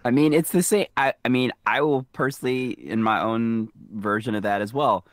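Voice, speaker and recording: A man's voice: mid-range voice, moderate pace, dry recording, studio quality. A man from the American South co-hosting a podcast in casual, chatty film talk with a wry manner. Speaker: podcast co-host. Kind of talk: casual film recap chat.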